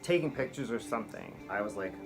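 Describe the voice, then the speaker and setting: A man's voice: mid-range voice, lively intonation, fairly quick, over music. A middle-aged man, a drag performer, telling a rambling, profane comic story in a campy, chatty way from a small room at home. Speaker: drag performer and show host.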